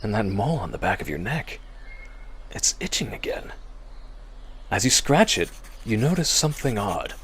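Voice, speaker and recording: A man's voice: lowish voice, big pitch swings, moderate pace, long pauses, a bit bright, a little hiss or hum. A man delivering suspenseful, theatrical scripted narration as the storyteller of a horror audio drama.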